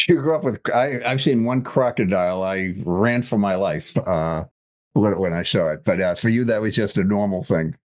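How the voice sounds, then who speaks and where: A male interview host with a somewhat low voice. He talks in a chatty, joking way and lets his questions ramble loosely. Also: normal speed. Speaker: podcast host. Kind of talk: interview hosting.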